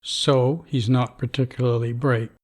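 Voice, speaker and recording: An actor playing a stern middle-aged vault overseer who talks in a dry, dismissive, rule-bound way. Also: male voice, mid-range voice, level tone, a little slow, lightly acted. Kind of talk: audio drama acting.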